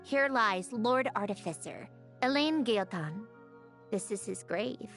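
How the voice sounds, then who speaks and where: A woman's voice: medium pitch, animated, measured pace, soft background music. An actress voicing a proud, prickly female automaton scholar who speaks in a curt, dry manner. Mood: tender, remorseful. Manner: curt and dry, softening to sincere. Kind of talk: game voice acting.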